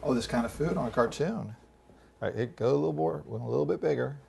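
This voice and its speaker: A man's voice: medium pitch, quick pace, creaky, over a noisy crowd. A middle-aged man, a chef, patiently walking children through a recipe in a home kitchen. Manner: patient, instructive, coaching kids. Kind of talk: cooking demonstration.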